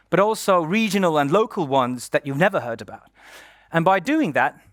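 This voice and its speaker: A man's voice: a little high, fairly bright tone, no echo, studio quality. A man hosting a live conference session, introducing and interviewing a guest on stage in an upbeat, playful way. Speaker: stage host and moderator.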